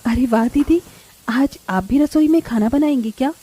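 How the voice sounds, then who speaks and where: A woman voicing a gentle, sentimental younger sister-in-law in a cartoon, sweet and earnest. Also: high voice, normal speed, breathy edge. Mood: polite.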